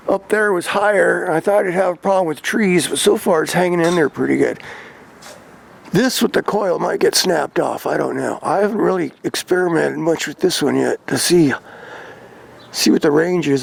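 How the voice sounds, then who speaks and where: An American man with a gravelly voice, talking casually and informally as a hobbyist vlogger. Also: fairly high pitch, slight background noise. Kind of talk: casual hands-on gear talk.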